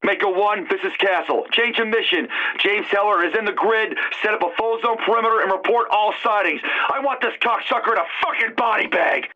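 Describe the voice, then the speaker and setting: High, forceful field commander on radio. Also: male voice, a little fast, few pauses. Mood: forceful, commanding. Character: hard, foul-mouthed male field commander. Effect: military radio filter. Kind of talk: military radio chatter from a video game. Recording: dull radio with static.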